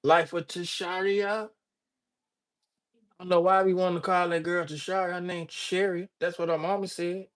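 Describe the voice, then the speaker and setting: High-voiced older man chatting on a livestream. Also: little pitch movement, normal speed. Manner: rambling, joking, addresses viewers by name. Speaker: livestream host. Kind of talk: casual livestream chat reading viewer comments.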